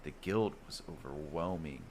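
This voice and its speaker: A man with an extremely low voice reads a fantasy bedtime tale. His delivery is measured and dramatic, like a storyteller setting a grim scene. There is some background noise under the voice. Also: darkish tone. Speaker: storyteller, narrator. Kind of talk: first-person bedtime fantasy story reading.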